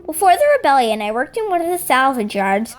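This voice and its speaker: An earnest, resolute rebel robot played by a woman records her account of an uprising in a high female voice. She tells it as a solemn, scripted game log, with music playing softly behind her. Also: very animated, a little fast. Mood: earnest, reflective. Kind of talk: scripted dramatic log narration.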